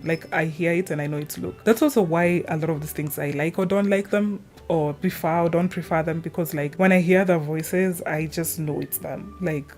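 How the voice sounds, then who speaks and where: Low-voiced young woman chatting over music. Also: a little mellow. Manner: informal, chatty, trailing tangents. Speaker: gaming video creator. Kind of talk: casual rambling opinion chat.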